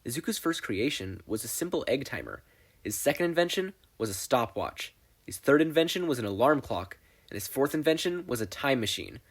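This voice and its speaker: A man narrates fan fiction with a playful, wry touch. His voice is slightly rough and husky, and his delivery is animated, moving freely around a medium pitch. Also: a little fast. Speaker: podfic reader.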